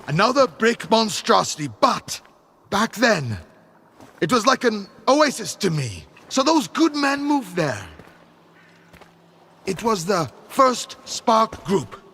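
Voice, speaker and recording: Brooding fanatical faction leader, gravelly, wildly swooping pitch, theatrical pauses, video game drama. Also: male voice, sing-song, pushed and bright, full character voice. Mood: wistful, reminiscing.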